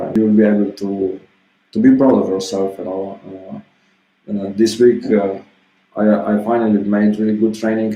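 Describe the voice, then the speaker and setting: A man in his thirties talks in a loose, meandering way, full of little filler phrases. His voice sits low and sounds warm and relaxed. The room around him is echoey, so his words ring a little. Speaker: self-help vlogger. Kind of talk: casual motivational monologue. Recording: roomy echo.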